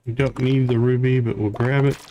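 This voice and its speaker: A man hosting a livestream, giving casual gameplay commentary and thinking aloud in an unhurried way. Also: medium pitch, little pitch movement, mellow. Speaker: livestream host.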